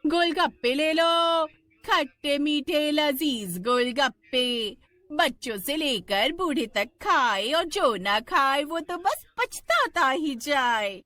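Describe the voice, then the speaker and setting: A woman voicing a female snack seller in a cartoon, with a drawn-out, sing-song vending call. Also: sits high, animated, sharp tone, no room sound, voice pushed up, heavily acted. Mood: luring, sing-song. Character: female street snack hawker luring customers.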